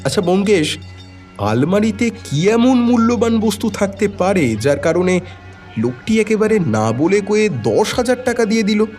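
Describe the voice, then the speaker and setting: A man's voice: high-pitched, sing-song, moderate pace, darkish tone, faint music behind, pitched above the performer's own voice. A man voicing a detective's curious, persistent companion in an audio drama, questioning and bantering. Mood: curious, insistent.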